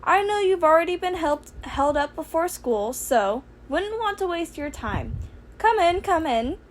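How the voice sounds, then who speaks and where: Woman playing a high, chirpy, courteous little male principal. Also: big pitch swings, a little slow, slight background noise, full character voice. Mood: polite, chirpy. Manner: polite, formal, apologetic. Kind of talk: audiobook character voice for fan fiction.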